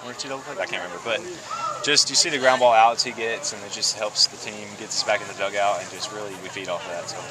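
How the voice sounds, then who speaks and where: A young man, a college baseball pitcher, answering postgame questions outdoors at night in an easygoing way, chuckling now and then. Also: fast, rough recording. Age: young adult. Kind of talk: postgame interview. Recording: outdoor crowd noise behind.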